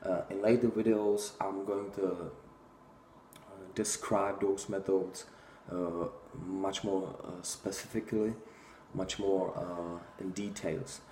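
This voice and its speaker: Czech guy in his 30s, real low voice, blunt gym talk, lots of uhs, echoey little room. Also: level tone, takes it slowly, warm tone, slight background noise. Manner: blunt and opinionated, many fillers, gestures while talking. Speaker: fitness vlogger. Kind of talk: casual talking-head monologue. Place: small room indoors.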